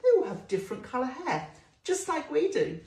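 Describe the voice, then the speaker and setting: Female children's storyteller, very lively sing-song delivery, drawn-out key words, roomy echoing recording. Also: high-pitched. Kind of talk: storytelling to young children with questions and phonics.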